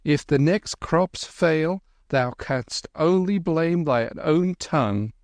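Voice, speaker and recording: Cheeky street boy read by a male narrator, raised pitch, tart and cocky, storybook reading. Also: animated, takes it slowly, a little mellow, no room sound, studio quality. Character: cheeky quick-witted young street boy.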